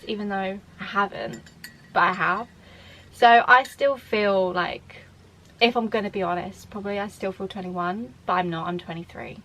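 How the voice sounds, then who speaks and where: A woman's voice: on the high side, fairly bright tone, poor audio. A woman in her twenties from the UK, chatting casually to camera in a Q&A vlog, rambling with lots of fillers and restarts. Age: 20s. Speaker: vlogger.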